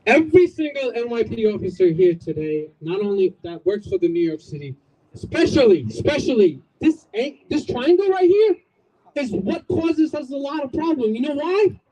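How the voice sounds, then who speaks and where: A man's voice: very high voice, sing-song, moderate pace, warm tone, dull audio. A man from Bangladesh giving an emphatic outdoor community speech, rallying the crowd with repetition and jokes. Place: outdoors at a street corner.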